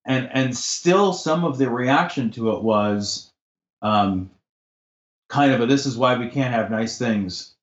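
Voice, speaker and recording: An assertive man talks with passion in a podcast conversation and swears as he heats up. His voice sits at a middle pitch. The room echoes around him, and the sound is dull and lo-fi. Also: takes it slowly. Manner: assertive, impassioned, swears when heated.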